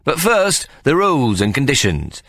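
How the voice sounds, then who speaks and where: A man's voice: mid-range voice, huge pitch swings, normal speed, fairly bright tone, no room sound, pitched above the performer's own voice, heavily acted. A man voicing a sly, scheming male showman who announces grandly and wheedles. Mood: grand announcing.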